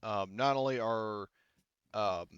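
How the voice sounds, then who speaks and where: A man improvises narration as a game master in a halting, informal way. His voice is bright and clear, recorded close and dry with slightly muffled sound. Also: on the low side, dry recording. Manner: halting, informal, frequent "like" and "you know".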